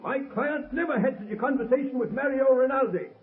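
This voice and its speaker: An actor playing a formal, insistent defense lawyer in a 1930s radio courtroom drama. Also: male voice, extremely high pitch, a little fast. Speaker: defense counsel. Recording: tinny scratchy 1930s radio recording.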